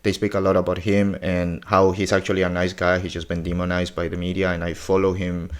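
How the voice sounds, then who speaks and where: A man's voice: deep voice, monotone, fairly quick, clean tone. A man in his forties recapping a podcast episode in a casual, blunt, opinionated way, swearing often. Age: 40s.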